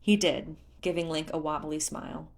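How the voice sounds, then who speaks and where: A woman reading a story aloud in an expressive, scripted narration style. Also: low-pitched, moderate pace, gravelly. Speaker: fan fiction narrator.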